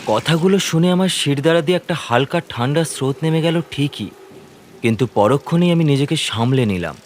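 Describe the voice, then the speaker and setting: A man's voice: a little high, lively intonation, a little fast. A man giving dramatic, suspenseful first-person narration of a scripted horror story. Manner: theatrical, suspenseful delivery. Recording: faint ambient noise, croaking frogs behind.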